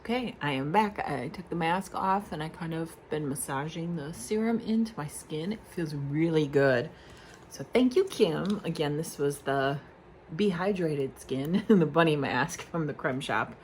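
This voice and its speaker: A woman's voice: deep voice, measured pace, a little hiss or hum. A woman vlogging casually at home, rambling through questions with lots of fillers and self-deprecating asides. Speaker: beauty vlogger. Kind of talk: casual chat to camera.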